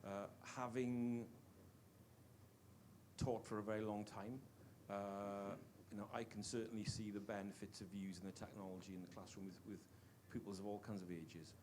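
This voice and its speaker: A Welsh man with a somewhat low voice speaks from the audience in an earnest, halting way, restarting his phrases often. He is a longtime teacher raising a point at a panel talk, and a faint hum sits under the recording. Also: level tone. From Wales. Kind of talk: question from the floor at a panel talk.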